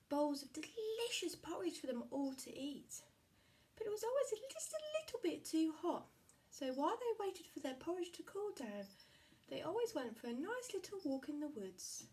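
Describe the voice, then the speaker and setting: A woman reading a fairy tale aloud for children, with animated, sing-song storytelling. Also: high-pitched, moderate pace.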